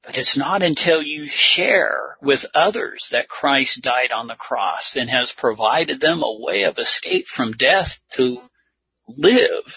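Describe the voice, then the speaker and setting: A man preaching and teaching a Bible lesson, speaking in deliberate, earnest short phrases with a husky, gravelly voice. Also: mid-range voice. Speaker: preacher leading a church lesson. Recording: muffled lo-fi audio.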